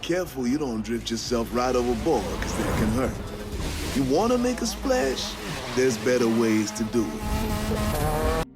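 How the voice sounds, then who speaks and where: An actor playing an earnest, streetwise street-racing crew leader in a video game, talking colloquially and reflectively over music. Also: male voice, mid-range voice, big pitch swings, unhurried. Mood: upbeat, rallying. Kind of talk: video game cutscene narration and dialogue. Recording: music under the voice.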